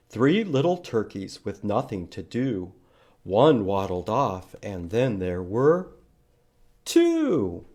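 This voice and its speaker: A man's voice: mid-range voice, mellow. A man hosting a library storytime for young children, reading aloud in an exaggerated, sing-song way and prompting with questions. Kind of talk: children's storytime read-aloud with counting songs.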